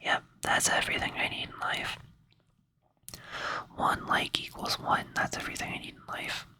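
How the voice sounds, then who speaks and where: A person rambling in a breathy whisper close to the microphone for ASMR, sounding sleepy and hesitant, with a playful, soothing way of talking. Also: normal speed. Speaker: ASMR creator.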